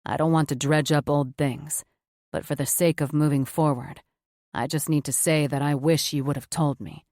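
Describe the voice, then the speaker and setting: A woman voicing a low, earnest man. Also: steady tone, normal speed, darkish tone, no echo, clean studio sound. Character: tender remorseful man, a doctor.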